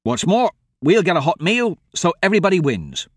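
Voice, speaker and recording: A man's voice: sits high, big pitch swings, moderate pace, no echo, studio quality, pitched above the performer's own voice. A man voicing a good-natured young male swordsman who talks in an upbeat, reasoning way. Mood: easygoing upbeat.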